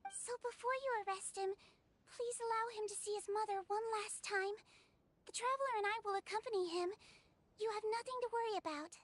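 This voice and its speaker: A woman voicing a small, calm, kindly non-human girl nurse in a video game, speaking in a measured, reassuring way. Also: very high voice, no room sound. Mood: calm, assured.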